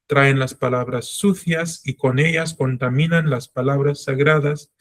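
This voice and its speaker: Man giving an earnest Torah class. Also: fairly high pitch. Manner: earnest, personal, self-reflective. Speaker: Torah teacher. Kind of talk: religious class lecture.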